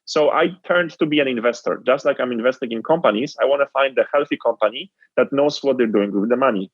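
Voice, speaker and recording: A man's voice: mid-range voice, fast talker, breathy edge, no room sound, highs cut off. A man from Poland with a Polish accent, telling his story as an interview guest in an earnest, reflective way. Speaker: guest entrepreneur.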